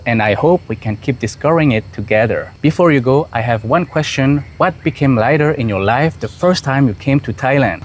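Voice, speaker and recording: A man from Switzerland talking calmly and reflectively to camera as the host of his own channel, speaking straight to the viewer. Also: medium pitch. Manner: calm, measured, addresses viewer directly.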